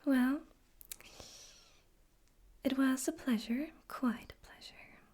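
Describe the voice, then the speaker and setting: Woman playing a theatrical male radio demon, ASMR roleplay, breathy hushed whisper, condescending, teasing, secretly soft, unhurried delivery. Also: high voice. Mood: smug, fond. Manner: slow, deliberate, teasing, condescending.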